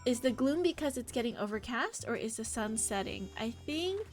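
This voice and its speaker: A woman with a high voice chats informally on a game livestream. She rambles along expressively, speaking straight to her viewers. Faint music plays behind her. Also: expressive, moderate pace. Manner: chatty, rambling, talking to viewers. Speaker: live game streamer. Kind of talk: casual livestream chat. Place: indoor home office.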